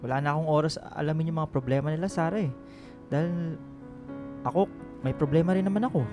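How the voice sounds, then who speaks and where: A man's voice: fairly high pitch, quick pace, music in the background, lightly acted. A man playing a flirty, world-weary middle-aged boss who likes to joke, in a radio drama. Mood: weary, complaining.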